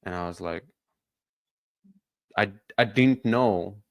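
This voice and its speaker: Low-voiced man, chatty podcast host. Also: slow pace, creaky, no echo, studio quality. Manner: chatty, asks follow-up questions. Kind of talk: casual podcast conversation.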